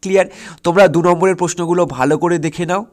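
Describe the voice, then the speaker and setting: A man's voice: high-pitched, steady tone, quick pace, a little mellow. A man teaching exam preparation, explaining step by step and often stopping to check that students follow. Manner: instructive, often checks understanding with short questions; repetitive, step-by-step counting and listing.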